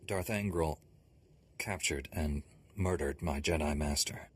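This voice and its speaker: An actor playing a grim, steely Jedi Knight in a video game, speaking tersely and bluntly. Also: male voice, a bass voice, unhurried, breathy edge, full character voice. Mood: grim, determined. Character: grim, steely male Jedi Knight, ruthless young hero. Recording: music under the voice.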